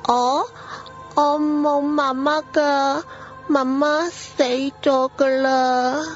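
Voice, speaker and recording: A woman voicing an innocent little girl in a radio horror drama, with a thin childlike voice and drawn-out, repeated words. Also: sits high, little pitch movement, pitched above the performer's own voice. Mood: innocent, plain. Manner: drawn-out words, pleading repetition. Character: innocent little girl, playful, growing terrified. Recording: dull lo-fi audio. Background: prominent background music.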